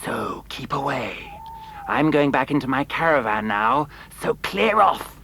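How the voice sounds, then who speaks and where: Slow, menacing circus snake man. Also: medium pitch, big pitch swings, creaky, bright, forceful tone, a little hiss or hum, heavily acted. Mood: hostile, warning. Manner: slow, threatening. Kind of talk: audio drama acting.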